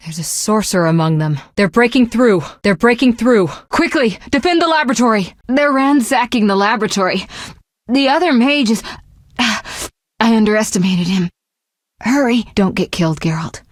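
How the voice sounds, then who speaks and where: An actress playing a confident, proud young sorceress in a fantasy video game, speaking in a poised, articulate, assertive way. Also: female voice, a little high, lively intonation, moderate pace, no echo, clean studio sound, close to an everyday voice. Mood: serious, informative, then urgent, alarmed, then tender, subdued.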